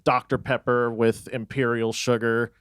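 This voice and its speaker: A man's voice: mid-range voice, flat delivery, measured pace, fairly bright tone, smooth, no room sound, studio quality. A young man from Texas with an American accent, chatting casually and wryly in a band interview. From Texas, United States. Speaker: band musician being interviewed. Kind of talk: casual chat.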